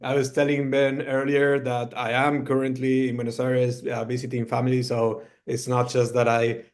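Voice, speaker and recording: Man from Argentina, middle-aged, guest founder, relaxed storyteller, fillers, video call. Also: medium pitch, normal speed. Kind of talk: storytelling presentation.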